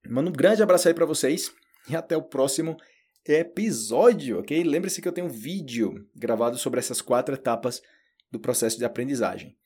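A Brazilian man with a Brazilian Portuguese accent, giving a relaxed, chatty podcast lesson and addressing learners directly. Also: mid-range voice, a little fast, husky. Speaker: Portuguese teacher. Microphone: solo audio recording.

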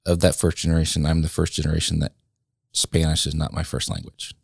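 A man in his late thirties talks in an extremely low, gravelly voice. He is a guest in a casual conversation, thinking aloud with frequent ums and self-corrections. The room sounds dry and close. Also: level tone, dry recording. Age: late 30s. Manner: reflective, halting, many ums and restarts.

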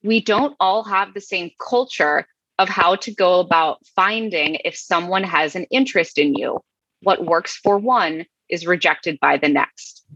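A woman's voice: fairly low pitch, unhurried, fairly bright tone, slightly rough, dry recording, exaggerated voice. A woman voicing an indignant adult male online troll who lectures in a self-righteous way. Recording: dull lo-fi sound.

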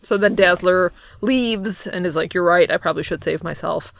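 A woman's voice: lowish voice, moderate pace, vocal fry, no echo. A woman co-hosting a podcast, casually recapping a comic book in a wry, playful, loosely improvised way. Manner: wry, playful, often laughing. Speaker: podcast co-host.

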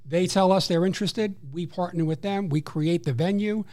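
Measured man with a New York accent. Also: sits high, darkish tone. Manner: measured, informative, some restarts. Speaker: program coordinator. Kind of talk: guest interview, explaining services.

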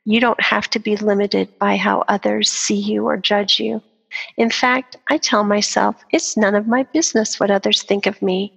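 Older woman, husky gravelly voice, earnest inspirational storyteller, long reverb. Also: mid-range voice, fairly even intonation, moderate pace, a bit bright. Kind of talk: reading a personal story aloud.

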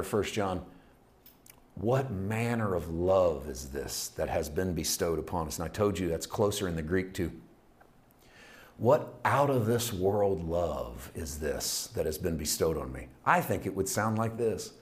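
A man preaching a sermon, building with repeated phrases and dropping to hushed, intimate passages. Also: low voice. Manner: emphatic, repetitive preaching cadence, swings from loud to hushed. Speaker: pastor.

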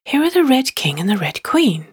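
A woman reading as a curious little girl in a storybook, hushed and full of wonder. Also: sits high, big pitch swings, normal speed, slightly rough, voice pushed up, full character voice.

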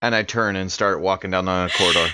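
A man's voice: deep voice, steady tone, quick pace, background noise. An American man chatting casually as a player in a tabletop roleplaying game.

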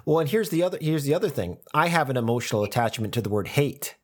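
Man, English teacher, casual academic chat, thinking aloud, restarts and repeats, lively rising and falling pitch. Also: fast. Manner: thoughtful, repeats words while thinking aloud.

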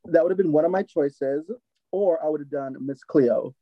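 A man with a Jamaican accent in casual chat, retelling his reactions in an animated way, on a slightly lo-fi recording. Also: a little high, normal speed, no echo.

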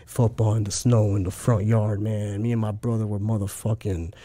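A man's voice: fairly low pitch, flat delivery, normal speed, dark tone. A man from Chicago, a guest talking casually in a streetwise way, swearing heavily and using lots of slang. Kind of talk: casual interview talk.

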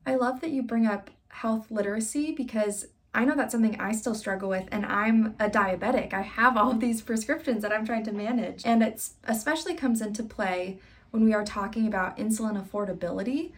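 A woman's voice: on the high side, a little fast, recorded from a distance. A young woman hosting a casual interview. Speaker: interview host.

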